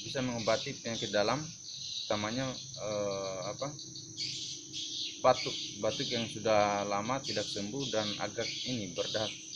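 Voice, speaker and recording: Male vlog presenter, lowish voice, halting informal explanations, clearly noisy outdoor recording with insects. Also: fairly even intonation. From North Buton, Southeast Sulawesi, Indonesia. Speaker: herbal remedy video presenter.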